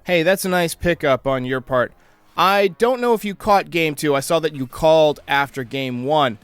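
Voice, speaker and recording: A man's voice: a little high, moderate pace, fairly bright tone, clean tone, dry recording, soft background music. A man hosting a baseball fan show, delivering sports commentary and previews. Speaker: host of a baseball fan channel.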